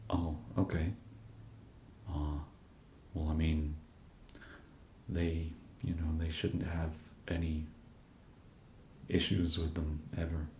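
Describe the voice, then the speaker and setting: An English man in an ASMR roleplay as a shop genius, speaking softly and hesitantly with many fillers and a dry, self-deprecating humour. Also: extremely low pitch, little pitch movement, takes it slowly, pauses often, roomy sound, slight background noise. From England.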